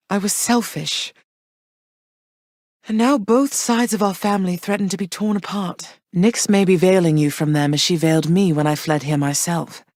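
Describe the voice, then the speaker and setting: Regal underworld goddess mother, low-pitched, dry close sound, measured and earnest. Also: a little mellow, no echo. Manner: measured, earnest, formal phrasing. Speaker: voice actor. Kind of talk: game voice lines.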